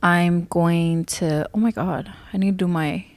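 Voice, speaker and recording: A young woman, a podcast guest chatting casually, animated and slangy, mixing English and Spanish. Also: on the low side, unhurried. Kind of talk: casual chat.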